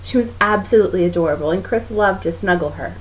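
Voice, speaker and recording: A woman reading a story aloud as narrator, in an expressive style. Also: medium pitch, quick pace. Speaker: author reading own book. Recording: noisy home recording.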